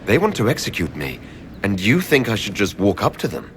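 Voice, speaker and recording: An actor playing a proud, sceptical adventurer in a video game, questioning and self-assured. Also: male voice, lowish voice, sing-song, fairly quick, a little hiss or hum. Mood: defiant doubt. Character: proud, sceptical male adventurer leading a fledgling order. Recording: loud game music behind.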